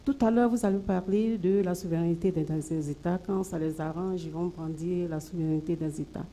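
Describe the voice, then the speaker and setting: A woman's voice: lowish voice, fast talker, warm tone. A woman from Côte d'Ivoire who leads a women's organisation, putting an earnest, pressing question to a panel from the floor. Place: conference hall.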